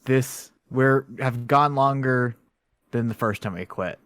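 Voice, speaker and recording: A man's voice: medium pitch, takes it slowly, fairly bright tone, no echo, clean studio sound. A man co-hosting a podcast, laid-back in casual chat with brief replies. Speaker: podcast co-host.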